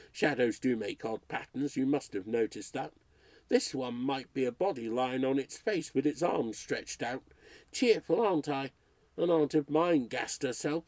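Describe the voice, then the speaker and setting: A man's voice: mid-range voice, a little mellow, no room sound. A man reading aloud and voicing a male radio announcer alone in a haunted house, rambling nervously to his listeners as he cracks up. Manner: rambling, nervy chatter addressed to listeners. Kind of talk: read-aloud ghost story.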